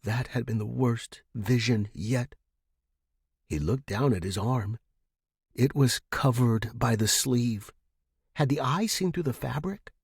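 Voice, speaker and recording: Clear, dry-recorded man narrating fiction. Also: mid-range voice, no echo. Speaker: audiobook-style fiction narrator. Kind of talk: dramatic scripted story narration.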